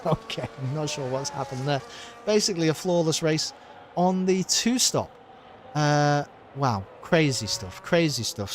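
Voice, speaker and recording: A man commentating a sim race and signing off his stream, excitable and rambling, with his voice wearing thin after a long session. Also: a little high, slight background noise. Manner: excited, rambling, with many uh fillers. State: losing his voice.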